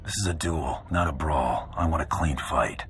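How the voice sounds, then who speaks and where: A man's voice: a bass voice, normal speed, noisy background. A man playing a tough, wry bounty hunter in a video game, with dry, terse delivery. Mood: stern. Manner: dry, terse, hard-boiled. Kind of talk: video game cutscene acting.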